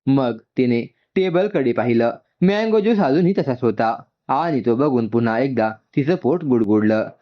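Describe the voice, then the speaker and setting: A man's voice: mid-range voice, lively intonation, normal speed, few pauses, highs cut off. A man narrating a scripted story as a storyteller, voicing its dialogue along the way.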